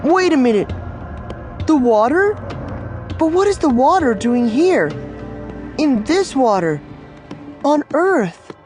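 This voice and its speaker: Woman voicing an anxious, very high-pitched young boy. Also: very animated, measured pace, pauses often, a little mellow, soft background music. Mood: alarmed. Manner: anxious, panicky, exclaiming. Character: frightened, cautious, bookish young boy. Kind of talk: audiobook character acting.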